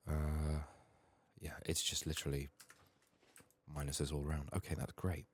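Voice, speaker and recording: A man with a sick, strained voice murmuring soft-spoken ASMR gaming commentary, sometimes near a whisper, thinking aloud close to the mic. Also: little pitch movement, a little slow. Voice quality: husky, gravelly, very low. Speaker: game streamer making a playthrough.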